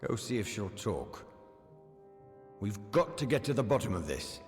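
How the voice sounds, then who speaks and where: An actor playing a proud, commanding army officer in a fantasy video game, with an authoritative, blustering manner. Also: male voice, on the low side, big pitch swings, moderate pace. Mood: gruffly reflective. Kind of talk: video game character acting. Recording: long reverb, music behind.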